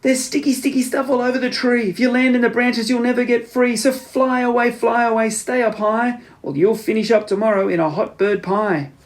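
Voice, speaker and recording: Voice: mid-range voice, fairly even intonation, brisk, few pauses, pitched above the performer's own voice, lightly acted. A man puts on a magnificent, worldly bird from a children's storybook. The voice is lifted and grandly self-assured. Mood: singsong warning. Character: grand, worldly African roly-poly bird, magnificent and helpful.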